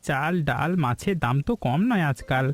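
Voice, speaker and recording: A man's voice: medium pitch, animated, moderate pace, close to an everyday voice. A man playing an eager, ambitious village business partner in a cartoon story, persuasive and respectful. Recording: background music throughout.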